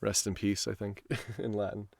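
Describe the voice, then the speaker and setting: A man's voice: fairly low pitch, little pitch movement, normal speed, creaky, a little mellow, no room sound. A man reading stories aloud on a live stream, with an informal manner. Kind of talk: live storytelling and casual stream chat.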